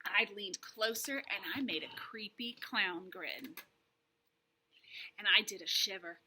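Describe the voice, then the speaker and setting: A woman voicing an excitable, mischievous little girl who narrates a children's storybook theatrically, in childlike grammar. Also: medium pitch, slow pace, background noise, pitched below the performer's own voice, lightly acted. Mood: sneaky, hushed. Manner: theatrical, childlike grammar, dramatic shrieks and hushes. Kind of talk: animated children's storybook reading.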